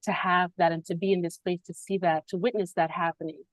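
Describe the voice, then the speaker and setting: A woman with a fairly low voice hosts a spiritual podcast over a video call. She talks in a reflective, unhurried way and often laughs between thoughts. The sound is dry and close. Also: flat delivery, no room sound. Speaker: podcast host.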